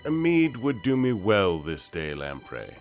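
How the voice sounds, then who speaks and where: A man playing a graceful, charming fantasy bard with a silky smooth voice and an elegant, courtly manner. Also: lowish voice, big pitch swings, soft background music. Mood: suave. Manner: unhurried, elegant.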